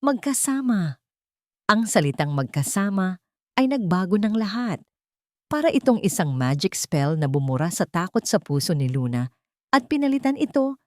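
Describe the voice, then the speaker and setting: A woman with a Filipino accent narrating a dramatic scripted story in deliberate, short phrases with pauses. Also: mid-range voice, big pitch swings, darkish tone, no room sound, clean studio sound.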